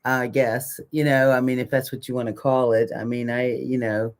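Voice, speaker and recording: A woman's voice: very deep voice, little pitch movement, moderate pace, a little mellow. An older woman co-hosting a casual live chat, talking in a folksy, colloquial and emphatic way. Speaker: co-host of a live community chat show.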